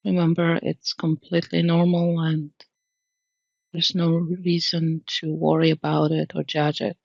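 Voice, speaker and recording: A woman leading an unscripted guided meditation in a calm, soothing manner, leaving long pauses between phrases. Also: deep voice, vocal fry, no room sound, dull audio.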